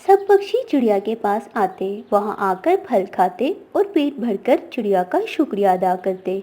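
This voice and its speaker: A woman's voice: medium pitch, big pitch swings, normal speed, mellow. A woman delivering scripted narration as the narrator of a children's story.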